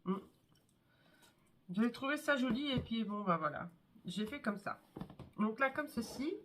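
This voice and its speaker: A woman with a cold showing her scrapbook albums in a casual, chatty way, with many fillers and pauses. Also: fairly high pitch, animated, fairly bright tone, slight background noise. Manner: chatty, hesitant, many fillers and "hop, voilà". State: has a cold, congested. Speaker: scrapbooking vlogger. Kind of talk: casual show-and-tell craft video.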